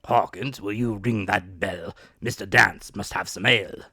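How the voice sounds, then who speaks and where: A man's voice: on the low side, a little slow, bright, forceful tone, slightly rough, no echo, studio quality, heavily acted. Man doing a pompous, condescending country squire. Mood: emphatic, approving. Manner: pompous, declamatory. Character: stately, condescending, hot-tempered country squire. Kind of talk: dramatic audiobook character voice.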